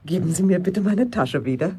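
Radio drama: gracious, grateful lady, very breathy and husky, unhurried, varied intonation. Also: on the low side, lively intonation, mellow. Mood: polite, grateful. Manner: courteous, gracious. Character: polite, grateful lady visitor on foot. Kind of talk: German radio drama acting.

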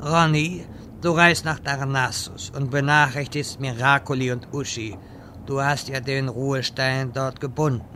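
A man playing a wise, exasperated old wizard in a fantasy parody radio play, speaking deliberately and dryly. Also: medium pitch, fairly even intonation, pushed and bright, slight background noise. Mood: commanding. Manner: slow, deliberate, dry.

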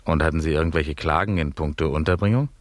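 Nosy polite interviewer in an old radio play, really deep voice, talks fast. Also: male voice, no room sound. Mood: briskly inquisitive. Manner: formal, inquisitive, reporter-like. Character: officious, courteous male interviewer questioning ship musicians. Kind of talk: 1970s German radio play acting.

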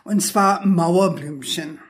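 Older woman from the us, english is her first language, talks slow, hunts for words, chatty guest. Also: fairly low pitch. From United States. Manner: searching for words, frequent tag questions. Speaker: guest, author. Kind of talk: casual conversational interview.

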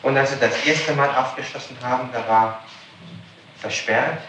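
A male police interrogator questions insistently and formally in a mid-pitched voice, challenging what he is told. He sounds set back from the microphone in a very reverberant room on a noisy archival tape. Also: normal speed, bright, forceful tone, far from the mic.

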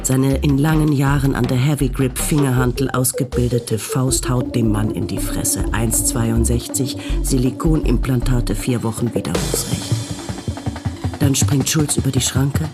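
Actor as guy narrating a dark surreal radio play, incantatory, music behind, medium pitch. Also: steady tone, unhurried, close to an everyday voice. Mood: urgent, incantatory. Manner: incantatory, repetitive, literary. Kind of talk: scripted radio drama narration.

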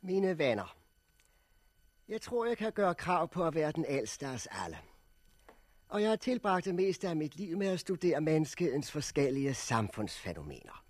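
Husky elderly professor, sweeping oratory. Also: male voice, on the high side, sing-song, a little mellow, no echo. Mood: measured, lecturing. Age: older. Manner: formal, deliberate, lecturing, rhetorical. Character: elderly male sociology professor, pedantic, coolly unsentimental orator. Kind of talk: 1960s radio drama acting, public speech.

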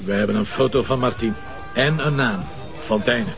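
An actor playing a confident, wry Flemish police inspector, brisk and conversational, in a naturalistic drama. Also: male voice, mid-range voice, creaky, close to an everyday voice. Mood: wry, intent. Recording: dull lo-fi sound under music.

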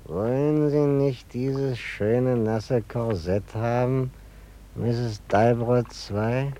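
A leering suitor from a dream coaxes slowly in a radio play. His voice is very dark and mellow. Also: mid-range voice, steady tone. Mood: coaxing. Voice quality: slow, coaxing, suggestive. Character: leering man courting a woman in a dream.

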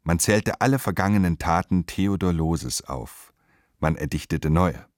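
A man's voice: low-pitched, a little slow, dry recording, studio quality. A man narrating a radio play in a scripted literary style, with clipped, declarative delivery.

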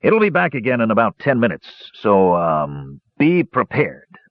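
A man voicing a gruff, blustering dwarf ship captain in an audiobook fantasy tale, with salty sailor talk and hesitant bluster. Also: fairly low pitch, wildly swooping pitch, a little slow, no room sound, highs cut off. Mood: embarrassed mumbling.

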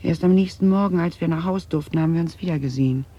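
An actress playing a haunted middle-aged woman in a German radio drama, confiding grave family guilt in a halting, husky voice. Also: on the low side, warm tone, slight background noise, lightly acted. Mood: resigned. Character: haunted Dutch woman confessing her family's wartime guilt. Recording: slightly dull 1980s radio recording.

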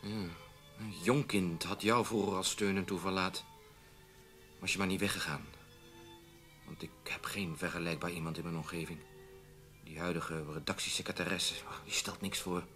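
An actor playing a weary, self-doubting older newspaper editor, resigned and lamenting, in a radio drama. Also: male voice, low voice, fairly even intonation, normal speed, pauses often, sharp tone, soft background music. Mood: resigned, regretful.

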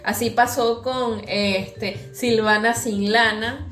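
A woman's voice: a little high, steady tone, slow. A woman chatting casually as a podcast co-host. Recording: roomy echo, music behind the voice. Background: background music.

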